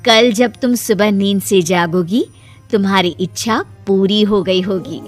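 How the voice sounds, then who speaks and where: A woman voicing a small, kindly magical tree fairy in a cartoon story, gently reassuring in a motherly way. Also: mid-range voice, measured pace, natural voice. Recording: music behind the voice.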